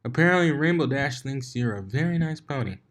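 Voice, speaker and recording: A man voicing a wry young male pony who talks in a dry, deadpan way. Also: mid-range voice, a little fast, pitched above the performer's own voice, close to an everyday voice.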